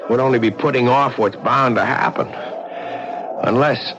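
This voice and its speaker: An actor playing a worried, broke innkeeper in an old radio drama, earnest and determined. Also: male voice, mid-range voice, steady tone, normal speed, over music, lightly acted. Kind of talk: 1970s radio drama acting. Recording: dull, faintly hissy old radio broadcast.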